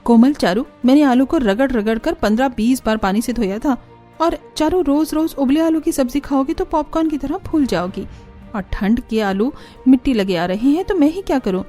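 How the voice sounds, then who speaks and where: A woman acts out a harried young daughter-in-law from a cartoon family drama. Her voice is very high and soft-edged, with a theatrical, put-upon, sharp-tongued manner, over clear background music. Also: moderate pace, natural voice. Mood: defensive excuses. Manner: complaining, theatrical, sarcastic.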